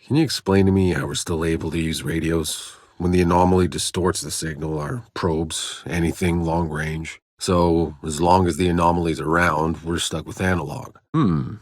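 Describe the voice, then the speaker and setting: An actor voicing a jaded, sardonic, hardened soldier in a video game, with a rough voice and a wry, terse, world-weary delivery. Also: male voice, low voice, normal speed, hardly any pauses, no echo, clean studio sound. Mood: low, dry. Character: jaded, sardonic male soldier, hardened survivor of alien wilds. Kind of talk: video game character voice lines.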